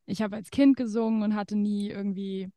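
A woman hosts a casual podcast interview, talking freely and laughing often, with plenty of fillers between her thoughts. Her voice sits in a middle pitch. The recording is dry and close, with no room around it. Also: fairly even intonation, fairly quick, dark tone, no echo. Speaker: podcast host.

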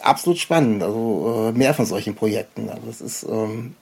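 Mellow, rambling enthusiastic podcast host. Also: mid-range voice, fairly quick, vocal fry, slightly rough. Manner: hesitant, rambling, enthusiastic fan talk. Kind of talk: casual interview talk.

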